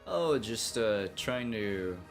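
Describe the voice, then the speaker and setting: A young man chatting casually on a live game stream in a halting, rambling way, as a streamer talking to viewers. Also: on the low side, animated. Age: young adult. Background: game music behind the voice.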